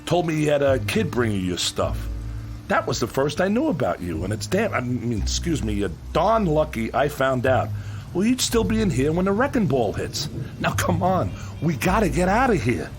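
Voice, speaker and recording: Lively, blunt American working man. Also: a little high, normal speed, over music. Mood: earnest, explaining. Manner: colloquial, ungrammatical working-man speech, earnest and insistent. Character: gruff working-class demolition contractor, blunt but kind-hearted. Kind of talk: radio drama acting.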